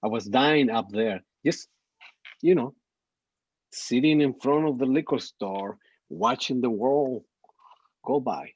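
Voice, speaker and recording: A man plays a loyal, easygoing older street sidekick in a drama read. His husky voice moves slowly and casually, with halting phrases. The recording is dry and a bit dull, like a video call. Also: medium pitch, a little mellow, dry recording. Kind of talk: screenplay table read. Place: remote virtual call.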